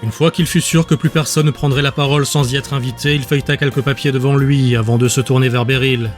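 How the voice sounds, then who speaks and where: A man narrates fiction in audiobook style. His voice sits at a medium pitch, and music plays faintly underneath. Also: fairly even intonation, fast talker. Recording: music bed behind the voice.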